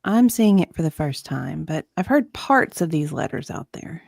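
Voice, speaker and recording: A woman's voice: sits low, animated, moderate pace, gravelly, a little mellow, dry recording, full character voice. Lady reading as a hesitant witness in a deposition, forgetful, keeps trailing off, dry close sound. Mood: unsure. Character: hesitant, forgetful female witness being questioned in a legal deposition. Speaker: reader in a dramatic reading. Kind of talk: dramatic reading of deposition testimony.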